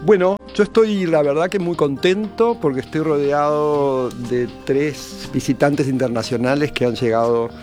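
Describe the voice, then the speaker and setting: A man's voice: a little high, a little slow, hardly any pauses, darkish tone, faint music behind. Rioplatense man hosting a radio interview. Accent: Rioplatense Spanish.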